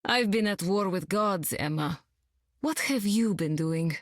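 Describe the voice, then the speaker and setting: A woman's voice: mid-range voice, lively intonation, a little slow, dry recording, clean studio sound, natural voice. An actress playing a battle-hardened demon-queen sorceress in a video game, cool, terse and disdainful. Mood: scornful.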